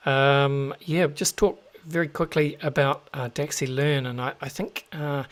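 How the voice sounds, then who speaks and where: A man with a New Zealand accent hosting a show, talking casually to listeners with lots of fillers and restarts. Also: medium pitch. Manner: chatty, many fillers and restarts. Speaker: host.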